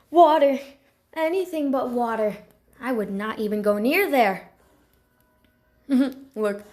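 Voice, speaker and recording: A timid pampered house cat played by a woman in a cartoon animal story, speaking in a fairly high voice. The delivery is very unhurried and halting, stammering with awkward nerves and prone to dramatic, excitable outbursts. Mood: musing.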